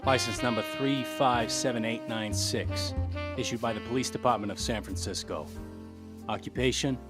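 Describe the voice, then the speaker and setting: An actor playing a tough, wry, cynical private detective in a 1940s-style radio drama, with slangy, clipped delivery. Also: male voice, mid-range voice, normal speed, over loud music, lightly acted. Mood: hardboiled, matter-of-fact.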